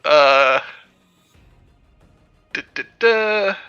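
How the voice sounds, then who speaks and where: A man's voice: fairly high pitch, animated, extremely slow, sharp tone, smooth, muffled recording, soft background music. A man playing a tabletop game over voice chat, talking casually with many fillers and hesitations. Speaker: tabletop player.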